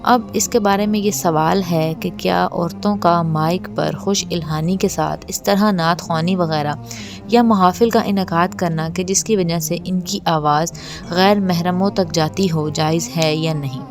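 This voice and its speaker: A woman speaks as a religious lecturer in a fairly low voice. She explains and admonishes in a didactic way, with music clearly playing behind her. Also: steady tone, unhurried. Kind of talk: religious explainer talk to camera or microphone. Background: background music.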